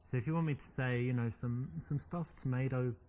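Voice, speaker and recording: Male actor as a cocky English flirt in a video game, boastful, middle pitch. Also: fairly quick, a little mellow, natural voice.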